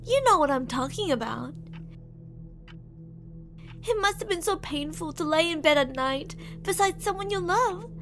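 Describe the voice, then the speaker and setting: A woman's voice: very high voice, expressive, a bit bright, soft background music, exaggerated voice. A woman voicing an obsessive, menacing shapeshifting monster who coaxes and taunts intimately in an ASMR horror roleplay. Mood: coaxing, manipulative. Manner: intimate, taunting, possessive, with drawn-out phrases. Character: obsessive female shapeshifting monster posing as a lover, menacing, coaxing.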